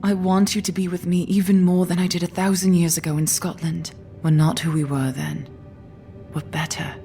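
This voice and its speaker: An actress playing an immortal woman leader in a sci-fi audio drama, intimate and hushed, tender yet weary and resolute. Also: lowish voice, flat delivery, normal speed, faint music behind, close to an everyday voice. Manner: intimate, hushed, often slipping into whisper. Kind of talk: full-cast sci-fi audio drama acting.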